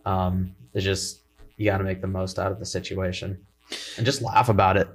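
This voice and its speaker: Young man, twenties, worship leader, casual podcast chat, low voice, easygoing and rambling. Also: moderate pace. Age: 20s. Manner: relaxed, rambling, many fillers. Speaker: worship leader, college student.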